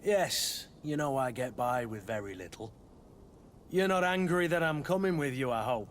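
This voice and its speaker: An actor playing a gruff, loyal mercenary weapons master in a video game, wry and bantering. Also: male voice, mid-range voice, expressive, moderate pace, slight background noise, lightly acted.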